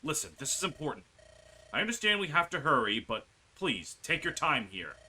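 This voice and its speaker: A man's voice: fairly high pitch, very animated, normal speed, pushed and bright, husky, full character voice, poor audio. A man voicing earnest fantasy game companions as he reads their dialogue aloud dramatically. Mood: earnest, urgent. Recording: music behind the voice.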